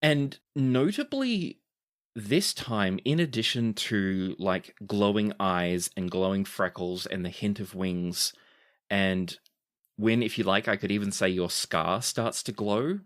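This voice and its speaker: A man narrating as game master of a tabletop game, relaxed and chatty, correcting himself as he goes. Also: lowish voice, slow.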